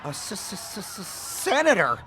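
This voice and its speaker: A man voicing a sleazy, lecherous video game producer who talks slowly. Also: sits high, wildly swooping pitch, rough recording. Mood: indignant. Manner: slow, lecherous, stammering. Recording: game cutscene with loud music.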